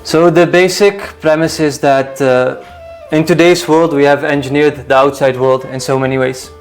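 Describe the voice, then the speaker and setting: A young man, a guest being interviewed, who explains his views in a measured, reasoned way and often asks rhetorical questions. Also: fairly high pitch, steady tone, faint music behind. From Iraq, raised in the Netherlands. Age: young adult. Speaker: guest, full-time volunteer. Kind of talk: calm explanatory interview talk.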